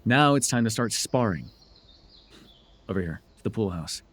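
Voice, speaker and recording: A man's voice: lowish voice, expressive, moderate pace, a bit bright, breathy edge. A man playing a smug, teasing telepath supervillain who mentors a hero, in a wry, theatrical yet conversational ASMR roleplay. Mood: wry, bossy.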